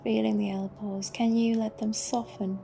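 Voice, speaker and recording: A woman leads a guided meditation gently and soothingly, in a soft, breathy voice that often drops close to a whisper. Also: medium pitch, flat delivery, slight background noise. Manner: gentle, unhurried, with long pauses. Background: music.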